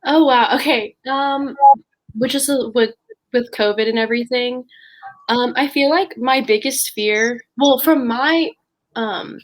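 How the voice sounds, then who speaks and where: A woman's voice: sits high, measured pace, poor audio. A sixteen-year-old girl chatting casually as a podcast guest, hesitant and leaning on the word like. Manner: hesitant, frequent "like". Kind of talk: casual personal chat.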